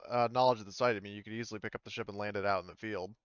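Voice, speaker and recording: A man's voice: fairly low pitch, fairly even intonation, rapid-fire, smooth, no room sound, muffled recording. A man running a tabletop roleplaying game, thinking aloud with some hesitation. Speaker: game master.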